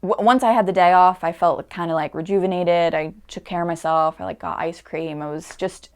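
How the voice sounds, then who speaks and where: Chatty young woman, low voice. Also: steady tone, fairly quick, smooth. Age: 20s. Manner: chatty, trailing restarts and many likes. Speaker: actor guest. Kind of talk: casual podcast interview.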